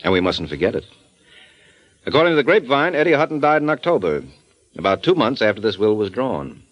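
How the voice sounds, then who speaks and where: An actor playing a wry, flippant American private detective in an old radio crime drama. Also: male voice, fairly low pitch, sing-song, a little fast, no room sound, highs cut off. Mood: cool, pointed. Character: wisecracking, unflappable male private detective.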